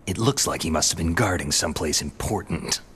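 A man playing a hardened special-forces veteran in a video game, gruff, stern and terse. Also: deep voice, fairly quick, a little hiss or hum. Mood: wary, concerned. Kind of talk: dramatic video game cutscene acting. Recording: loud music behind studio voice.

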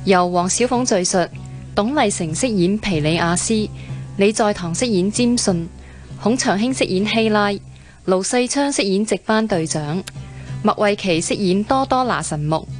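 A woman's voice: medium pitch, moderate pace, faint music behind. A woman with a Hong Kong accent reading radio drama credits in a formal scripted announcement.

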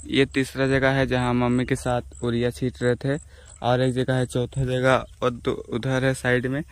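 A man's voice: mid-range voice, completely flat delivery, measured pace. A young man, chatting casually to his viewers while doing chores outdoors in a village, filming himself on a phone at arm's length. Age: young adult. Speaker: village vlogger. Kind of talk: casual vlog talk to viewers. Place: outdoors in village fields and lanes. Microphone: phone selfie at arm's length.

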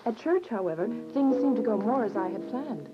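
Earnest young daughter played by an actress, music behind. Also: female voice, fairly high pitch, expressive, brisk, lightly acted. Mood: earnest, troubled. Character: earnest, devout teenage daughter. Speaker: narrator and lead character. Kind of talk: dramatic first-person radio drama narration. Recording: muffled radio drama, music behind.

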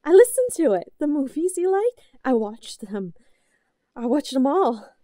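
An obsessive, possessive yandere woman, acted by a woman for an intimate audio drama. Her voice is fairly high and mellow, recorded dry and close. She talks in slow, halting pleas, lovesick and unhinged. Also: big pitch swings, no room sound. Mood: obsessive, insistent. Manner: halting, pleading, swinging between soft cooing and outbursts. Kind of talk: scripted audio roleplay, intimate and melodramatic.

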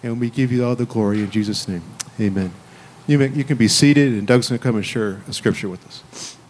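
A man's voice: medium pitch, a little fast, a little mellow, a little hiss or hum. A man leading spontaneous public prayer in a church, speaking in an earnest, declarative way. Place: church sanctuary, indoors.

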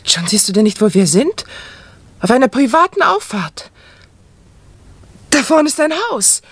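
An actress playing an uneasy, cautious wife in a 1960 German crime radio play. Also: female voice, on the high side, very animated, unhurried, husky, exaggerated voice. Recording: faintly noisy 1960 vinyl radio play.

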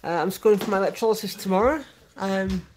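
A woman's voice: mid-range voice, fast talker, a little mellow. A woman chatting casually on a family live stream, answering viewer comments from a living room sofa. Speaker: vlogger. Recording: noisy home stream.

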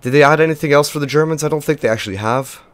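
A young man with a lost voice and little pitch movement, hosting a casual game walkthrough in a chatty, rambling way. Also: very fast. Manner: rambling, chatty, filler-heavy. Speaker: gaming video host.

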